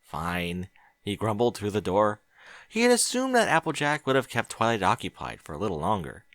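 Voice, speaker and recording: A man narrating a story aloud in a bright, lively, expressive voice. The reading moves up and down with the plot. The audio is dry and close. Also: mid-range voice, a little fast, gravelly, no room sound. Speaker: audiobook reader.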